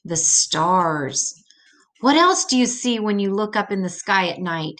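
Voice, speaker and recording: A woman's voice: mid-range voice, expressive, a little slow, a bit bright. An older woman reading an educational children's book aloud, adding simple, patient explanations as she goes.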